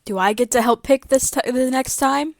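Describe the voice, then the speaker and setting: A woman co-hosting a podcast in casual chat, with a terse, deadpan, contrary manner. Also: sits high, normal speed, no echo, studio quality. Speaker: podcast co-host.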